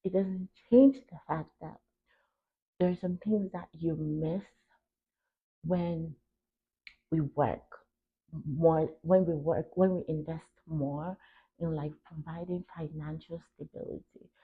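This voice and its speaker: A young adult woman from Cameroon talks reflectively and earnestly as a podcast host, stressing her points and pausing between short phrases. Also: sits low, fairly even intonation, vocal fry, slightly rough. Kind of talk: reflective personal talk. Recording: tinny phone-like narrow band.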